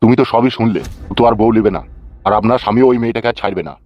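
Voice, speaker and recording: Guy doing a confused cop in a cartoon, talks super fast, sound a bit muffled. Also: mid-range voice, fairly even intonation, close to an everyday voice. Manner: blustering questioning. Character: bewildered village police officer, middle-aged man.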